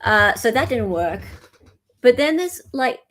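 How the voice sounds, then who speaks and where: A woman's voice: on the high side, very animated, measured pace. A woman hosting a casual commentary, thinking aloud in an informal, flippant way. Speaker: host commentator.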